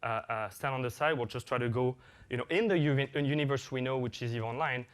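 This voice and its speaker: A man's voice: mid-range voice, fast talker, clear, resonant tone. A man whose first language is French, giving a conference talk as an executive producer, with many fillers and restarts.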